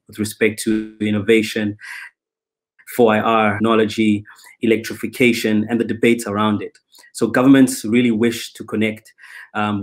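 A man moderating an online panel over a video call, measured and hesitant with many ums. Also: fairly low pitch. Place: indoors, plain room, video call. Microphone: webcam on video call.

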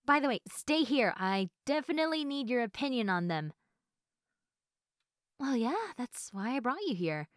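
Playful high-voiced young woman acted by a woman. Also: big pitch swings, moderate pace, dry recording, studio quality. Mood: playful teasing.

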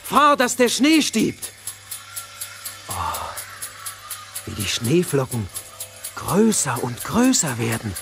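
An actor playing a headstrong young boy in a fairy-tale audio play, with an expressive, youthful manner. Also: a little high, slow pace, gravelly, long pauses, over music, exaggerated voice. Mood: thrilled. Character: young boy, headstrong, scornful, easily enchanted.

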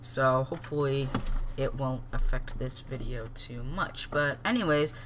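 A man's voice: mid-range voice, measured pace, noisy background. A young man with a congested, stuffy voice from a cold, hosting a casual game tutorial, rambling and correcting himself with many ums.

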